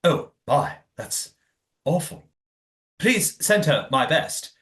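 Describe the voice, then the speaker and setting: Elderly hotel keeper, prim, naive, easily thrilled, lively swooping pitch, genteel old-fashioned phrasing, radio play. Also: slow. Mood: polite, flustered. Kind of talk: audio play acting.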